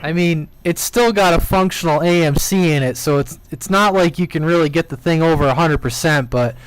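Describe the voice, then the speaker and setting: A man's voice: a little high, fairly quick, rough recording. A man making casual, joking banter on CB radio. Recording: CB radio with faint noise.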